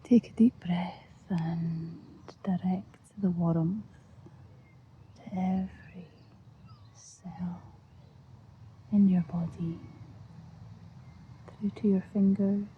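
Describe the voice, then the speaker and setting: A Scottish woman speaks softly and breathily, often in a whisper, gently and soothingly guiding an ASMR visualisation with long pauses. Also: lowish voice, fairly even intonation. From Scotland. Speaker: ASMR host. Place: outdoors, lying on grass. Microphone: close-up. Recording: muffled lo-fi outdoor recording, faint noise.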